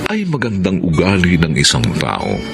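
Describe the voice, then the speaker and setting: A man's voice: sits low, huge pitch swings, moderate pace, creaky, music in the background. A man with a Filipino accent giving solemn, dramatic scripted narration for a radio drama.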